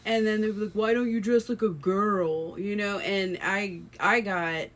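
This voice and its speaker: A woman with a naturally low voice co-hosting a casual podcast, speaking candidly about herself. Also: steady tone, measured pace. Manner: candid, personal. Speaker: podcast co-host. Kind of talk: casual discussion.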